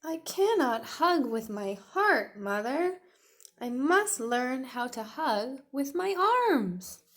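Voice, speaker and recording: A tiny young T. rex boy from a storybook speaks up , played by a woman reading aloud. He is earnest and determined. His words come slowly and deliberately, with childlike emphasis. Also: high voice, very animated, voice pushed up, exaggerated voice. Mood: earnest, pleading.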